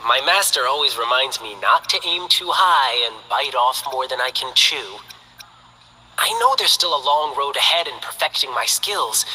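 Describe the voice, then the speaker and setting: A man voicing a cheerful, earnest young lion dancer who talks in a bright, friendly, enthusiastic way in game character voice lines. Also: on the high side, breathy edge, big-room sound, exaggerated voice, low-quality recording.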